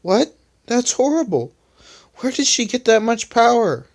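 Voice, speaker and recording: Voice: medium pitch, moderate pace, a little mellow, voice pushed up, close to an everyday voice. A reader voicing a caring young heroine who keeps asking anxious questions. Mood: worried.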